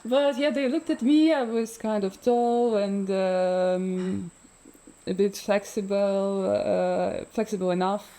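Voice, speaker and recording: A woman talks slowly and thoughtfully, pausing often on fillers. Her voice is mellow and soft, and she laughs lightly as she goes. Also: mid-range voice, fairly even intonation, poor audio. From Ukraine. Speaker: freediver guest. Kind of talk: relaxed interview conversation.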